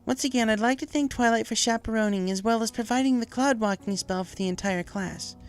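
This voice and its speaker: Narrator doing a polite lady pony teacher, pitched way up, storybook style. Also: brisk, clean tone, lightly acted. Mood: bright, instructive. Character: polite, friendly female pony schoolteacher. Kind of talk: storybook character reading.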